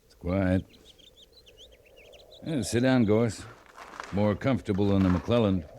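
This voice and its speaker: A calm American cavalry captain in a 1950s radio western. His voice is low and his speech terse and commanding. Faint noise and outdoor sounds sit behind him. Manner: terse, measured, authoritative.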